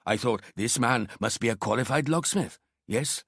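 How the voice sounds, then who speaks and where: A man's voice: mid-range voice, moderate pace, vocal fry, slightly rough, dry recording, studio quality. A man voicing a courteous, talkative Indian shopkeeper in his sixties for an audiobook, with an Indian accent. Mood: knowing, talkative. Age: 60s. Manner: deferential, talkative, proud. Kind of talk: audiobook character acting.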